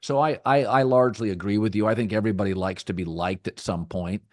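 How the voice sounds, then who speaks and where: A middle-aged man hosting a sports talk podcast, with a deep, rich voice and a measured, thoughtful delivery that often restarts phrases. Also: steady tone, a little mellow, no room sound, clean studio sound. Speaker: podcast host.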